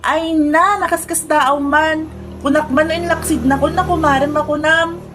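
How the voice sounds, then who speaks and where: High-voiced, nosy Ilocano village gossip played by a woman. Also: fairly even intonation, a little slow, over music. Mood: chatty. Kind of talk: Ilocano radio comedy-drama acting.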